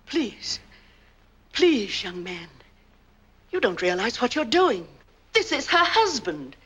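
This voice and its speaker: A genteel, anxious mother from a 1940s film noir. Her voice is slightly high and husky, with lively rises and falls. She speaks with proper, pleading politeness on an old film soundtrack. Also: takes it slowly, full character voice. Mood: anxious, polite.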